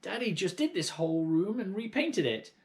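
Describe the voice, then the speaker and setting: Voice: medium pitch, sing-song, moderate pace, darkish tone, pitched above the performer's own voice. A storybook reader voicing an anxious young boy who calls out in worried, pleading tones. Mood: alarmed. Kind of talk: storybook read-aloud.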